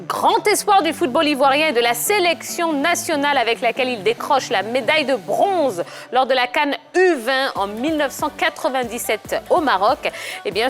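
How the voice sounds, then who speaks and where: A woman's voice: a little high, fairly bright tone. A woman hosting a football talk show, cheerful and playful. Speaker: TV host.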